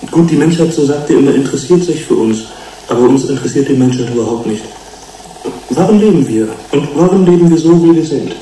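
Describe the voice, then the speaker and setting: A man's voice: on the high side, expressive, fast talker, mellow, natural voice. An actor playing a young male fish-human hybrid, bitter, brooding and defiant, in a radio play. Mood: bitter, questioning. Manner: brooding, challenging. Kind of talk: German radio play acting. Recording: echoey, distant, slightly noisy film playback.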